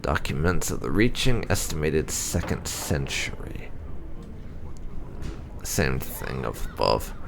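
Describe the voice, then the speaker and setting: A man reading fantasy game lore aloud in a measured, theatrical way with pauses. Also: extremely low pitch, very animated, vocal fry, background noise. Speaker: gameplay channel host.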